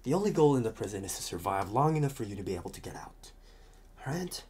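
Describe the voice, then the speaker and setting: A man playing a talkative, restless psychopath convict in an ASMR roleplay, protective and scheming, with halting, muttered asides. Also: lowish voice, big pitch swings, a little mellow, a little hiss or hum, pitched below the performer's own voice. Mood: insistent, protective.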